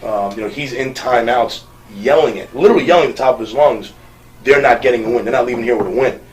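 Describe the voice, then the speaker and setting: A man who coaches college basketball, chatting in an interview and talking fast, with lively laughs when he quotes his players. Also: mid-range voice, recorded from a distance, rough recording. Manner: fast, animated, laughs while quoting players. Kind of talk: casual interview chat.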